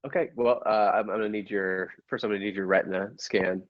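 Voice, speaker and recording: Man playing a low-voiced, sheepish inspector. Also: steady tone, dull audio, close to an everyday voice. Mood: defensive. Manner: halting, stammering, defensive. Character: sheepish, petulant inspector who lives with his mom. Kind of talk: improvised comedy scene.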